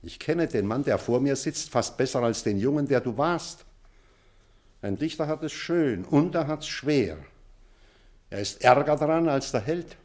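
An actor playing an elderly retired schoolmaster in a drama, pointed and deliberate, stern but tender toward a former pupil. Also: male voice, mid-range voice, animated, darkish tone, natural voice. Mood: earnest, moved. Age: older. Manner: deliberate, pointed, rhetorical repetition. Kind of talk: theatrical radio play dialogue.

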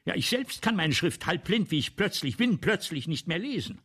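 A man's voice: high voice, big pitch swings, normal speed, background noise. A male actor in a radio play playing a vain, ailing, agitated playwright who rambles imperiously and swings between muttering and outbursts. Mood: agitated, rambling. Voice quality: husky, gravelly. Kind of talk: 1970s German radio play acting.

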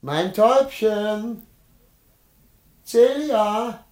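An actor playing a greedy, jealous husband in a theatrical radio comedy, blustering and wheedling by turns. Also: male voice, high-pitched, drawn-out words, a little mellow. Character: greedy, jealous, scheming husband, volatile and self-deceiving. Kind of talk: theatrical German classic radio comedy acting.

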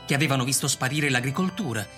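A man's voice: mid-range voice, fast. A man narrating a scripted radio drama as a storyteller. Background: music.

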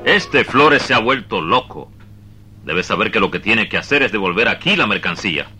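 A man acting a bossy, irritated company clerk in a radio drama, curt and emphatic, with a rough, husky voice. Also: fairly low pitch, expressive, normal speed, sharp tone, soft background music, heavily acted. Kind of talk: 1980s Spanish-language radio drama acting.

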